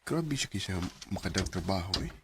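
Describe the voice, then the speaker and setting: A man's voice: sits low, big pitch swings, brisk, noisy background. A man acting in a Bisaya radio drama as an earnest, meek, hardworking office employee, with a hesitant manner and a Filipino Bisaya accent. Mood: pensive, concerned.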